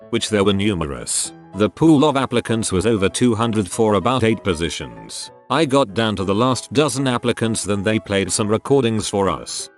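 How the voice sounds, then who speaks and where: Male narrator, lowish pitch, lively intonation, scripted story reading, background music. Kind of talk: scripted narration of read-out forum stories.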